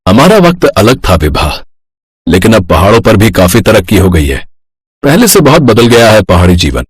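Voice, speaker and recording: A man acts out a middle-aged father and husband in an animated family tale. He explains things calmly and reassuringly in a husky voice. The recording is dry. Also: on the low side, no room sound. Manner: measured, explanatory. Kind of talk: animated moral-story voice acting.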